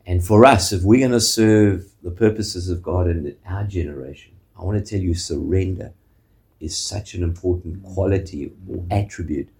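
A man with a South African accent preaching a sermon as pastor, measured, often ending points with a questioning "eh?". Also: sits low, vocal fry, a little mellow.

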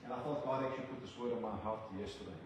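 An older man with a South African accent, a visiting preacher giving an earnest sermon and prayer with halting restarts. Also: medium pitch. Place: church. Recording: very echoey church from a distant mic with room noise.

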